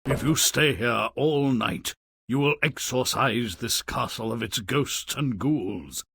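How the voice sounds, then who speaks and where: A man's voice: mid-range voice, takes it slowly, fairly bright tone, a little hiss or hum. An actor playing a stern, menacing king in a fairy-tale video game, grand and formal. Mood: coldly threatening.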